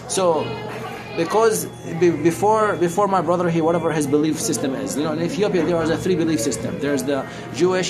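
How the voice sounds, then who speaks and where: A middle-aged man from Syria talking casually and unscripted, explaining things in a preachy, repetitive, rambling way. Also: a little high. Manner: rambling, repeats phrases for emphasis; preachy, addresses listener as brother. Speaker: street guitarist and talker. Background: guitar music.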